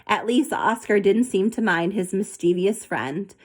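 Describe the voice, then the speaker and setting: A woman reads a children's story aloud in a mid-pitched voice. Her delivery is expressive and animated, as a storybook narrator. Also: unhurried. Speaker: storybook reader.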